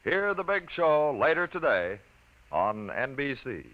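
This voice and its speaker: A man's voice: medium pitch, very animated, measured pace, no room sound. A man with an American accent reading scripted announcements in 1950s radio style. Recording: dull vintage 1950 radio recording.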